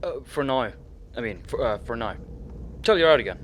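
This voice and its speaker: An actor plays an Afghan immigrant driver in a radio drama. His accented English is terse and halting, in a middle-pitched, guarded voice. A faint rumble of car interior sits behind him. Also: expressive, natural voice. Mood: cautious, concerned. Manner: hesitant, terse, broken English. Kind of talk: naturalistic radio drama acting.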